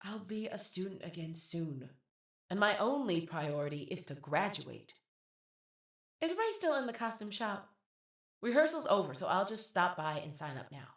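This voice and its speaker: An actress playing a proud, sharp-tongued, working-class Jamaican college student, fed up and sardonic, in a theatrical audio-play monologue. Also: female voice, medium pitch, normal speed, no echo, clean studio sound. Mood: hurried, restrained. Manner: sardonic, animated, theatrical storytelling.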